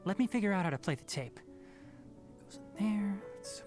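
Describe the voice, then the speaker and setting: A woman voicing a clever young male researcher in a video game, calm, precise and matter-of-fact. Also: medium pitch, normal speed, natural voice. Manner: precise, explanatory. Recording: game music behind the voice.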